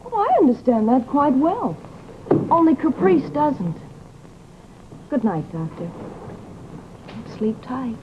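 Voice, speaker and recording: An actress playing a coy, unflappable hostess in an old-time radio drama, teasing and solicitous. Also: female voice, high voice, sing-song, takes it slowly, long pauses, exaggerated voice. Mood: piqued, teasing. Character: coy, unflappable woman hosting a haunted house guest. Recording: muffled, noisy vintage radio recording.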